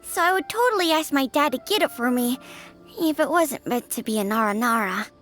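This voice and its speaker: A young child in video game dialogue. The voice is high, sweet and frank. Faint music plays in the background. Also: female voice, expressive, fairly quick, fairly bright tone. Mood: candid.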